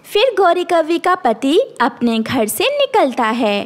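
A woman's voice: sits high, big pitch swings, slow pace. A woman narrating a scripted children's story.

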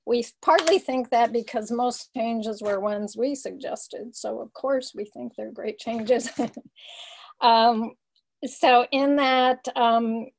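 An older woman giving an informal explanatory presentation over a video call, often halting as she searches for words. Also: fairly high pitch, creaky. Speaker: guest speaker, peace advocate. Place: small home room, indoors. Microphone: computer webcam on a video call.

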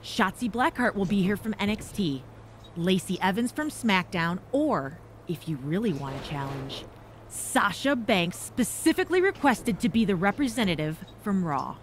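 Brisk female trainer, animated delivery, played by an actress. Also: mid-range voice, slight background noise, lightly acted. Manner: informative, brisk.

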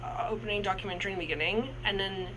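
A man's voice: high-pitched, fairly even intonation, rapid-fire, noisy background. A man in his twenties co-hosting a casual movie review, talking in a rambling way with many restarts and fillers. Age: 20s.

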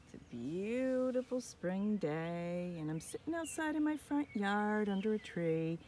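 A woman's voice: mid-range voice, unhurried. A woman reading a children's picture book aloud outdoors, expressively, as a teacher would to young children. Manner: expressive, addressing young children, with whispered asides. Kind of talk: children's story read-aloud. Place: outdoors in a front yard under a tree. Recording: outdoor recording with birds and ambient noise.

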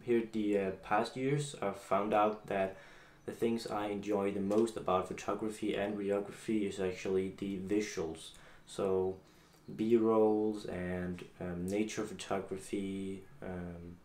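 A seventeen-year-old man from Denmark with a Danish accent, talking casually to the camera in a halting, hesitant way. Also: on the low side, little pitch movement, a little mellow. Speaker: YouTube vlogger introducing a channel. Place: small home office room, indoors. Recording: echoey room with faint background noise.

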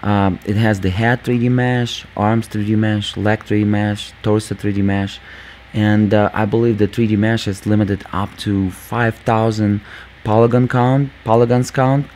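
A man hosting a gaming channel, talking casually over gameplay with a squeaky voice, lots of fillers, and calling viewers "guys". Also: steady tone, a little slow, darkish tone, slight background noise. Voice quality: squeaky, just-woken voice. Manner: rambling, many fillers, addresses viewers as guys.

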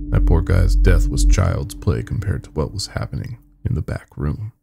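A man tells a first-person horror story in a very deep voice with plenty of gravelly fry. He delivers it as a podcast storyteller, with dry, wry asides along the way.

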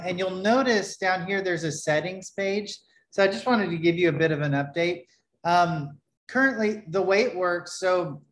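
A man in his thirties giving a relaxed screen-share tutorial on his product, talking loosely with many fillers and restarts from a webcam at his desk. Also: high voice, roomy sound, slight background noise. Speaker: developer presenting his product. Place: small home room, indoors.

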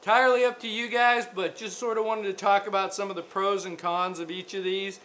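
A man in his forties hosting a casual how-to chat to the camera, relaxed and full of ums, talking with his hands. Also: sits high, a little fast, slight background noise. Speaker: host. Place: indoor studio with gray backdrop and camping gear table.

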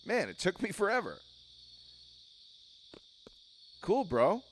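A man reading video game dialogue aloud as a brash, excitable young male cadet. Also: high-pitched, brisk, slight background noise, voice pushed up, heavily acted. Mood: cocky.

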